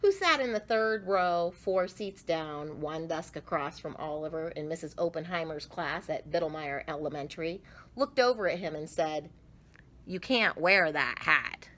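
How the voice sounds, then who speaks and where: A woman reading a picture book aloud to children, expressively, with drawn-out emphasis. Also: sits low, animated. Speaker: children's storyteller.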